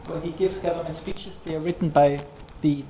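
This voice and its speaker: A man's voice: a little high, steady tone, a little fast, mellow. A man from Austria giving an academic lecture as guest speaker, chatty and self-correcting with light humor. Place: large lecture hall. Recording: echoey hall from far off, noisy.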